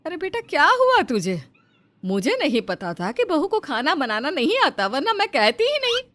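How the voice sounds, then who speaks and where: Middle-aged mother-in-law played by a woman, well-meaning, lively intonation, highish voice, cartoon family drama. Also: moderate pace, heavily acted. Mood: worried. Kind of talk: melodramatic Hindi moral-story cartoon acting.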